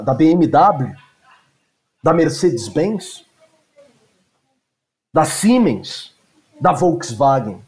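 Higher-pitched man lecturing with asides. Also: darkish tone. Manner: digressive, lecturing with frequent asides. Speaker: teacher. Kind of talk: lecture discussion.

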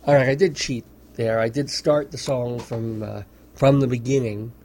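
A man's voice: mid-range voice, fairly even intonation, moderate pace, a little mellow. A man hosting a radio show, talking in a wry, mock-serious way with amused asides. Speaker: radio host. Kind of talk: casual radio commentary. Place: radio studio.

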